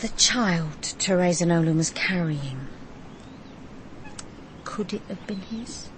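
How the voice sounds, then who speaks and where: A woman's voice: on the low side, lively intonation, slow, background noise. An actress playing a composed, probing female detective in a first-person radio crime drama.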